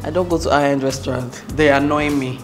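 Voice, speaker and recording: A woman's voice: a bass voice, fairly even intonation, fairly quick. A Nigerian woman in her thirties hosting a cooking show, chatting and teasing outspokenly while she cooks. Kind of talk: casual chat while cooking. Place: large indoor studio kitchen. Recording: roomy kitchen with music behind.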